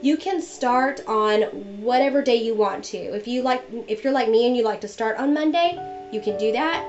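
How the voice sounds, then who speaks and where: A young woman with a fairly high voice talks through her planning in a chatty, friendly way, still a little stuffy from a cold. Her voice-over sounds dull and lo-fi, and instrumental music plays clearly underneath. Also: moderate pace, darkish tone. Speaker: bullet journal vlogger. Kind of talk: casual voice-over narration.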